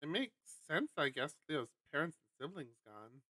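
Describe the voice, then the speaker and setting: A man streamer reading a visual novel aloud and adding chatty, animated asides. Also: on the high side, moderate pace, dry recording.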